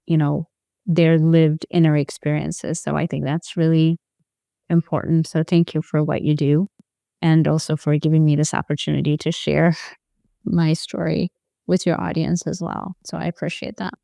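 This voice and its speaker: A woman, a former psychiatric nurse telling her story as a guest in a thoughtful, soft-spoken way. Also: deep voice, little pitch movement, normal speed, gravelly, no room sound, clean studio sound. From Ethiopia. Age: 40s. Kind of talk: personal storytelling in an interview.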